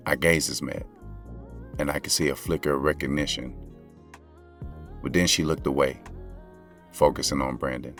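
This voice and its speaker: A male actor with an American accent playing a lovestruck, regretful basketball coach who confides in listeners in slangy, conversational audio drama narration. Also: extremely low pitch. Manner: slangy, confessional, intimate. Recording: music playing under the voice. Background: music.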